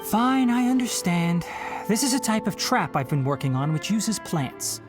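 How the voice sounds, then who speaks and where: An actor playing a gentle young forest ranger and scholar who talks in a calm, caring, lightly teasing way. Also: male voice, high voice, moderate pace. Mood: helpful. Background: game music.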